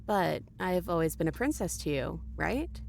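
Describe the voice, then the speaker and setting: A woman playing a sincere, wry young princess in a video game, talking in a soft, conversational way. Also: fairly low pitch, sing-song, moderate pace, gravelly, slight background noise. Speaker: game character.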